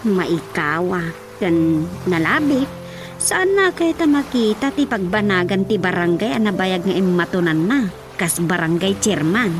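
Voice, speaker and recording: A woman's voice: lowish voice, animated, a little slow. A woman acting a worried, exasperated grown daughter who questions and protests in an Ilocano radio drama, with music underneath. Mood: subdued.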